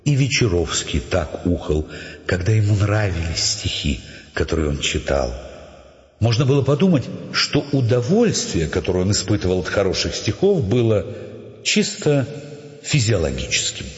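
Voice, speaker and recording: A man's voice: on the low side, very animated, normal speed. A male narrator telling a story in a radio play with dramatic, wry expression, in echoey sound with music behind. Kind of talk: dramatic scripted audiobook narration. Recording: echoey reverberant dull sound over clear music.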